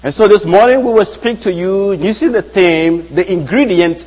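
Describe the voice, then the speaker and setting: A young man from Liberia, a Bible student, preaching a sermon in a church with measured delivery and rising emphasis on key phrases. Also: high-pitched. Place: church sanctuary. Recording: dull lo-fi sound with long hall reverb.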